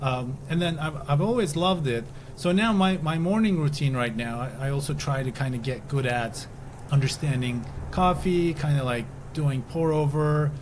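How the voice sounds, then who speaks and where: Male podcast host, clearly noisy recording. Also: fairly high pitch, normal speed, a little mellow. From Turkey. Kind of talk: casual interview chat.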